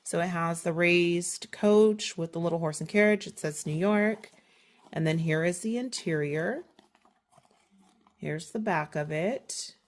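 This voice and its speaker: A woman with a slight cold and a sniffle, chatting through a shopping haul and correcting herself as she goes. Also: fairly low pitch. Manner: chatty, self-correcting, detailed. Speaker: haul vlogger. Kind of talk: casual product haul walkthrough.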